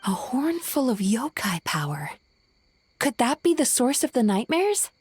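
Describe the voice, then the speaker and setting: A woman's voice: fairly high pitch, big pitch swings, measured pace, a little mellow, a little hiss or hum. A woman playing a thoughtful, caring young female companion in a video game. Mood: pondering.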